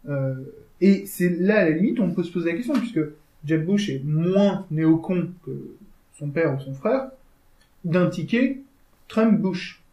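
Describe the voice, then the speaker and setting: A man giving measured, explanatory political analysis in a casual discussion. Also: high-pitched, expressive, warm tone. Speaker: co-host. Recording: echoey room.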